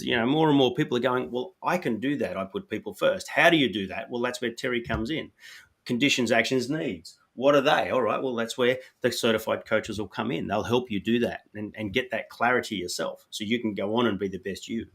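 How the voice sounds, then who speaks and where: A man's voice: medium pitch, fairly bright tone, breathy edge. A man from Australia with an Australian accent, hosting a podcast in an upbeat, coaching way and often saying "you know". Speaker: podcast host. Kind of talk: casual podcast chat.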